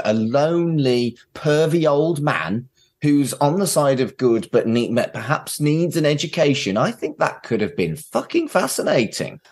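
Male podcast host, medium pitch, casual and opinionated, quick to pose questions. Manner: opinionated, sweary, asks questions. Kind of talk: casual pop-culture discussion.

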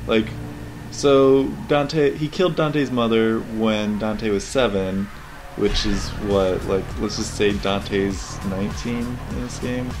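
A man's voice: lowish voice, fairly even intonation, slow. A man giving casual, unscripted commentary on video game cutscenes in a rambling, questioning, critical way. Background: game cutscene audio and music.

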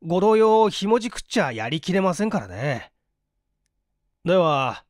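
A woman performs a jobless man in an audio story, with lively, swinging intonation. He is hungry, brazen and wry, talking politely but pushily in an old-fashioned way, in a dry recording. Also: on the low side, normal speed, dry recording. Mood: brazen, coaxing.

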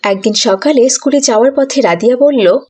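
A woman's voice: fairly high pitch, moderate pace, darkish tone, breathy edge. A woman narrator reading a scripted moral story for children.

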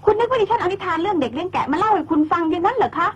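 A woman's voice: extremely high pitch, expressive, rapid-fire, muffled recording, slight background noise. A woman playing a blunt young woman friend who scolds and insists sharply. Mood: indignant.

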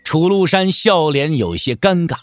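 A man reads scripted narration for a wuxia audio drama. His voice is on the higher side and moves expressively, with music behind it. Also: expressive, a little slow, dry recording.

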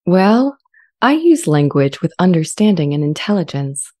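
A woman playing an earnest, friendly, self-aware artificial intelligence in a scripted dramatization, speaking in a measured, articulate way. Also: lowish voice, sing-song, dark tone. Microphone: studio condenser mic with pop filter, close.

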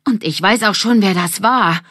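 A woman voicing an outspoken, sharp-tongued girl in a children's story, emphatic and accusing. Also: medium pitch, moderate pace, slightly rough, no echo, studio quality, pitched above the performer's own voice. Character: outspoken, sharp-tongued girl who mixes in Italian words.

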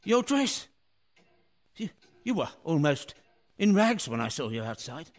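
Guy playing excitable confused painter in radio drama, highish lively voice. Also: unhurried. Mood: bewildered, anguished. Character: excitable bewildered painter, doting father, dawning memory. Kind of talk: radio play acting.

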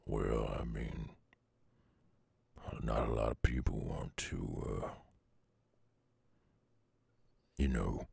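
A man playing a weary, centuries-old werewolf with a gruff Southern drawl, halting and tender in an intimate ASMR roleplay. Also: rumbling low, steady tone, darkish tone, no room sound, heavily acted. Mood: hesitant, tender. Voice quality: very gravelly, husky growl. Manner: halting, brooding drawl with long pauses. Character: gruff weary three-hundred-year-old male werewolf, guarded yet tender.

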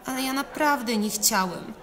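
Woman as a soft-spoken young kitchen boy. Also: fairly high pitch, moderate pace, crowd noise, voice pushed up, natural voice. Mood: meek, apologetic. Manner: soft, hesitant.

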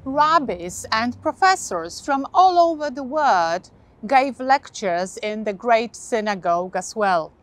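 Woman, 30s, Polish accent, slow deliberate delivery, fairly high voice, dry lapel sound. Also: lively intonation. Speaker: guide. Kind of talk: scripted historical presentation to camera. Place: outdoors by a modern building. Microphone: lapel mic.

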